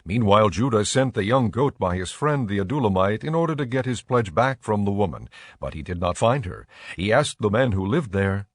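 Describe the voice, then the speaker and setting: A man narrates scripture from a script in a measured, solemn way. His voice is fairly low, and the sound is dry and close. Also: lively intonation, no echo.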